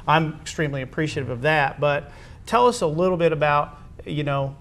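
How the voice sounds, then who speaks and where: A man speaking as a high school athletic director, hosting a conversational interview in a halting, wordy way.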